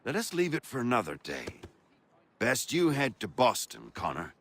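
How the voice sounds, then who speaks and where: An actor playing a genial, shrewd political organizer in a period video game, courteous and smooth-talking as he explains. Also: medium pitch, huge pitch swings, normal speed, a bit bright, full character voice. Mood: advising.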